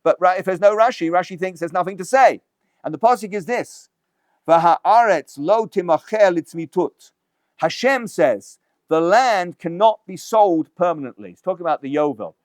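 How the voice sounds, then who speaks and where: Man, rabbi giving a text lesson, slightly high voice, dry close sound, explanatory, asks and answers his own questions. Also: animated, normal speed, no echo. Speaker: rabbi teaching a Torah class. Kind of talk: lecture-style text study.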